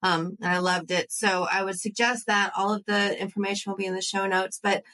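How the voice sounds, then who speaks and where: A woman's voice: mid-range voice, little pitch movement, fairly quick, sharp tone. A young adult woman hosting a podcast, chatting enthusiastically and often saying "like". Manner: enthusiastic, chatty, uses "like" a lot. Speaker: podcast host. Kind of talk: casual interview chat.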